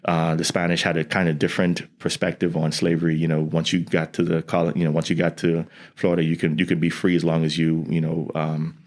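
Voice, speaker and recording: A man's voice: rumbling low, monotone, a little fast, hardly any pauses. A man from the Bahamas, a scientist and educator, talking earnestly in an interview, often restarting his sentences. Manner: earnest, with frequent restarts and "you know". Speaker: guest, environmental scientist and educator. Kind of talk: conversational interview.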